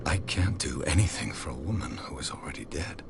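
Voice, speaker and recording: A cynical drinking detective in a game, very low deep voice, dry curt lines, faint hum behind. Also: male voice, expressive, a little fast, gravelly, heavily acted. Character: weary, hard-drinking male private detective. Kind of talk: game voice acting.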